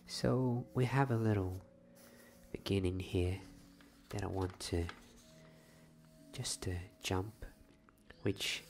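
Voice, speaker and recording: A man hosting a soft-spoken ASMR bedtime story reading, hushed and often drifting toward a whisper. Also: deep voice, a little hiss or hum.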